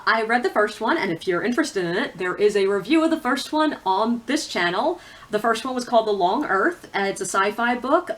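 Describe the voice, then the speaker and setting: A woman talking casually and candidly to viewers as a book reviewer, with opinionated, informal asides. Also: mid-range voice. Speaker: book reviewer on a video channel. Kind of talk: casual chatty vlog talk about books.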